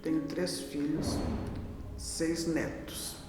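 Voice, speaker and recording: A woman's voice: low-pitched, little pitch movement, extremely slow, noisy background. A woman in her fifties with a southern Brazilian accent, chatting casually in an interview. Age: 50s. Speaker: adult student interviewee. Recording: very echoey hall, clear music underneath.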